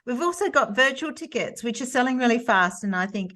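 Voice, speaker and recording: A woman, co-hosting a podcast in a relaxed, chatty way and reading a guest introduction. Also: on the high side, a little fast. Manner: relaxed, chatty, laughs easily. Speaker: podcast co-host. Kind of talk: casual chat and guest introduction.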